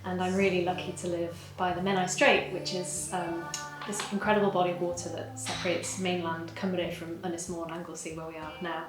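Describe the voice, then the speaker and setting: A singer-songwriter woman from North Wales, whose first language is English, answering interview questions in a thoughtful, soft-spoken way with frequent pauses. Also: fairly low pitch, flat delivery. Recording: roomy space with music behind.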